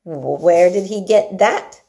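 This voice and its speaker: A woman's voice: on the low side, vocal fry, mellow. A woman voicing a cold, spoilt little girl in a storybook, speaking curtly and haughtily. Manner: slow, cool, curt.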